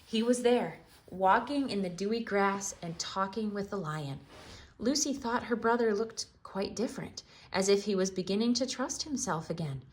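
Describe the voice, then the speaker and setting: A woman giving a theatrical read-aloud of a children's storybook, expressive and full of dramatic pauses. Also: medium pitch.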